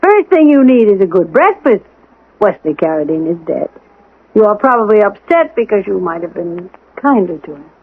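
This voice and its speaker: An actress playing a brisk, no-nonsense housekeeper in a radio drama, firm, crisp and admonishing with her anxious gentleman employer. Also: medium pitch, very animated. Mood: firm, reassuring. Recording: tinny band-limited old radio broadcast.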